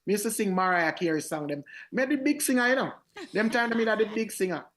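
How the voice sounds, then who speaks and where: A man with a Jamaican accent and a raspy voice, telling stories as a singer in a radio interview, animated and often repeating his words. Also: high-pitched, quick pace. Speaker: reggae singer, guest.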